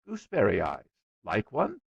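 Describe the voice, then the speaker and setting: Man playing a stubborn, earnest schoolboy, very lively pitch. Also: moderate pace, vocal fry, slightly rough, dry recording, highs cut off, full character voice. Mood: eager. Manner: dogged, earnest, colloquial. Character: stubborn, self-important young schoolboy with excuses.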